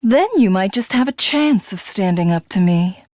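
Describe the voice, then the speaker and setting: A woman's voice: medium pitch, expressive, normal speed, darkish tone, no room sound, clean studio sound, close to an everyday voice. A woman voicing a haughty, pompous alien warlord who craves godhood, in theatrical video game villain style with formal, condescending diction. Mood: smug, imperious.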